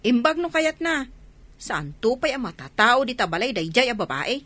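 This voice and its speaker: A woman's voice: fairly high pitch, big pitch swings, normal speed, fairly bright tone, a little hiss or hum. An actress playing an anxious, nagging middle-aged mother in a radio drama, fretful and complaining. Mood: harried. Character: anxious, nagging mother worn down by her children.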